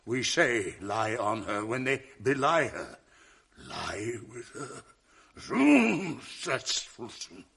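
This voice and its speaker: An actor playing a tormented, jealous male general who breaks into halting, anguished outbursts in a 1980s radio drama. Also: medium pitch, sing-song, vocal fry, fairly bright tone, husky, exaggerated voice. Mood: broken.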